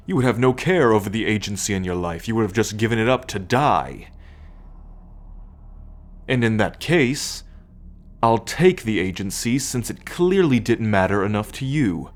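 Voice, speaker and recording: A man playing a proud, possessive alien starship captain in an ASMR roleplay, speaking in a measured, formal, commanding way to the listener. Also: lowish voice, lightly acted. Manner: measured, imperious, formal lecturing.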